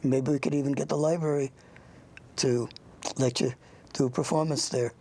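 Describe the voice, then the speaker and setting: Gravelly older interviewer. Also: mid-range voice, steady tone, darkish tone. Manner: rambling, halting, anecdotal. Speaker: interviewer, show host. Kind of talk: informal interview with a child guest.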